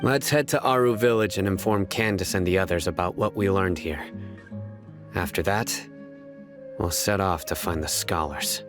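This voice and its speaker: A stoic young investigator in a video game voiced by a man. The voice sits low and stays composed and matter-of-fact. Faint music runs behind. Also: moderate pace, natural voice. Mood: grave. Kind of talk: video game dub acting.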